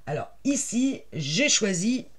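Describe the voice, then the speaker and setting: Raspy woman with a cold, casual tutorial host talking through her crafting as she works. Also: mid-range voice, sing-song, slow. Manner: chatty, many "euh" fillers, explains while working. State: has a head cold.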